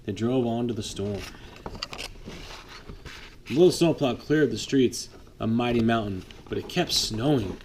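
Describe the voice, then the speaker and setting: Dad reading a bedtime story aloud. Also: male voice, mid-range voice, measured pace, long pauses, a little hiss or hum, poor audio. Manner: lively read-aloud, voices for the trucks, chats with the child. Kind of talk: reading a picture book aloud to a child.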